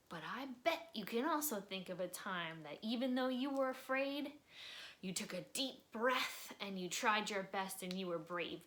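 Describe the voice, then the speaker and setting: A woman reading a picture book aloud for children, expressively, with dramatic pauses and drops into breathy near-whispers for suspense. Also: mid-range voice, fairly bright tone. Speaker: volunteer storybook reader. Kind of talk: children's read-aloud storytime narration.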